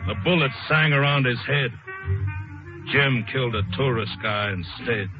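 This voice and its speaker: An actor narrating as a hard-boiled, romantic jazz-age balladeer, reciting rhyming slang verse rhythmically in an old radio drama. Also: male voice, mid-range voice, normal speed, close to an everyday voice. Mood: dramatic, wistful. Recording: muffled vintage radio, loud music behind.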